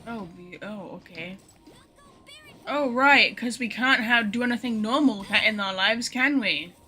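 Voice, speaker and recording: Gamer girl streaming, kinda high bright voice, blunt n a bit sarcastic, just chatting while she plays. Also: measured pace, slight background noise. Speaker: game streamer. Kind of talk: casual live gameplay commentary.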